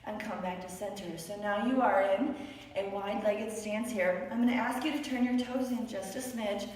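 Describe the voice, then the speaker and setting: A raspy-voiced woman leads a beginner yoga class with playful, encouraging cues, sounding tired after many classes. Her voice comes from well away from the mic. It rings through a very echoey room with a long, trailing reverb. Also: medium pitch, fairly even intonation, normal speed, a little mellow, distant mic. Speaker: yoga instructor. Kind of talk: guided yoga class instruction.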